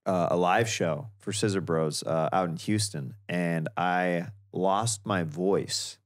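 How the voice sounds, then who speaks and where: A man guest on a comedy podcast, chatting casually and telling stories that jump up in pitch when he quotes people. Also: takes it slowly. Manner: animated storyteller, acts out quotes in a higher voice.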